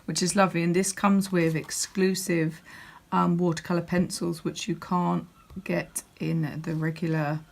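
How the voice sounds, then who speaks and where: A woman with a croaky voice, chatting casually as she walks through a crafting catalogue, with lots of ums and restarts. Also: lowish voice, little pitch movement, a little slow. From United Kingdom. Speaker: crafting demonstrator. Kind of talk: casual product walkthrough.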